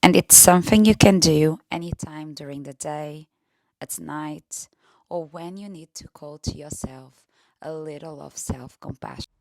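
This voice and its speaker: A woman leading a guided meditation in a gentle, soft-spoken way, often slipping into near-whisper with pauses. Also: low voice, vocal fry, no echo, low-quality recording.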